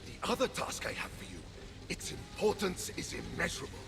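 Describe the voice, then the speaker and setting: A blustering war god for a video game. The voice sits high and leaps about wildly, with a gritty creak. He is vain and touchy, comically dramatic, and clear music plays behind him. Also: wildly swooping pitch, brisk, heavily acted. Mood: bossy, boastful. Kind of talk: video game cutscene acting.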